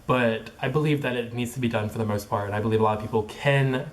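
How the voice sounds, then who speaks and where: A man's voice: medium pitch, steady tone. A young man who stutters, talking casually in a personal vlog, with repeated sounds, blocks and many fillers like "like" and "um". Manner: filler-heavy, halting phrasing with many likes and ums. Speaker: vlogger.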